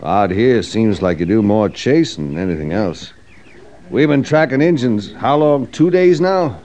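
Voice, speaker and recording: An actor playing a talkative, brooding cavalry veteran in an old radio western, speaking in a folksy American drawl. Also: male voice, fairly low pitch, expressive, unhurried, a little mellow, slight background noise. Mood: earnest, agitated. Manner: folksy drawling frontier soldier speech.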